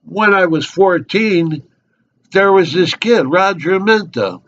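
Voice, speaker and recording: A slightly muffled recording of a man playing an eager small-town local who corners people at the bar. His voice sits higher, and he rambles on chattily in a stage monologue, oversharing with whoever is next to him. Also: lively intonation, takes it slowly, fairly bright tone, heavily acted. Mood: nostalgic, rambling.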